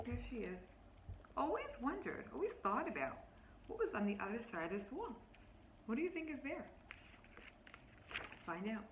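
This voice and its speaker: A woman teacher reads a picture book aloud to children, expressively, pausing to explain words and ask them questions. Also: mid-range voice. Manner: expressive, instructive, addressing children directly. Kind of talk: read-aloud storytelling with explanations and questions to young listeners. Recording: roomy, echoing, faint background noise.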